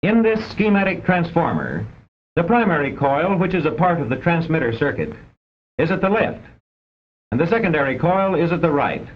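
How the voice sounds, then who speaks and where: A man with an American accent delivers formal scripted narration for a vintage instructional film, measured and didactic with precise enunciation. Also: a little high, very animated, a little hiss or hum. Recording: dull, band-limited old film soundtrack.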